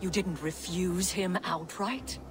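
A woman's voice: fairly low pitch, steady tone, takes it slowly, over music. Proud, wary Norse goddess queen. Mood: indignant. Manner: firm, guarded, questioning. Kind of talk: video game acting. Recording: clear ambience noise behind.

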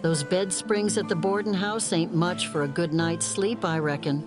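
A man's voice: high voice, fairly even intonation, moderate pace, pitched above the performer's own voice, close to an everyday voice. A man voicing a cheerful graying waitress with a weary folksy drawl, over music. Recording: music bed underneath.

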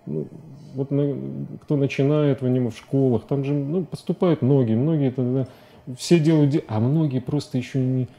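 A man in his forties talking as a guest in a studio interview, halting and repeating words as he searches for phrasing. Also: medium pitch, steady tone, warm tone. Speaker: interview guest, animation director. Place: TV studio at a desk. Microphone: lapel mic.

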